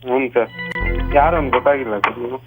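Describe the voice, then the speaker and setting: A man's voice: mid-range voice, quick pace, loud music behind the voice. A man of twenty-two in a casual phone conversation, polite but curt and ready to argue. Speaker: prank call victim, shop worker. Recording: noisy phone call.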